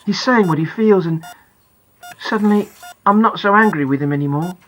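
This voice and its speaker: A woman's voice: on the low side, moderate pace, close to an everyday voice, poor audio. A woman playing a candid young boy who narrates his thoughts in a first-person audiobook, in plain, childlike speech. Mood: softening.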